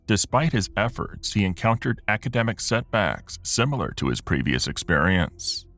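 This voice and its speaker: A man narrating a true crime story in a formal, measured scripted delivery. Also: low voice, creaky, fairly bright tone. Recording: music bed behind narration.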